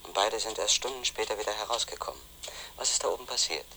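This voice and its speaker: An actor playing a brooding, insistent former magazine editor in a measured, introspective German radio play style. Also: male voice, lowish voice, background noise, natural voice. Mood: insistent, questioning. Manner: measured, literary, introspective narration.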